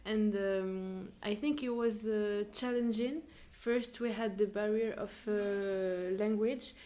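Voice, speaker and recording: A woman's voice: medium pitch, fairly even intonation, slow, dark tone. A young woman volunteer from France giving reflective interview answers, hesitant and searching for words. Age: young adult.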